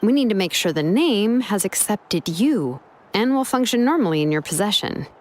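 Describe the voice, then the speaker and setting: Composed, mid-pitched craftswoman. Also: very animated, normal speed. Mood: composed, dry. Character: calm, expert craftswoman, dry and reassuring. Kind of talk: video game voice acting.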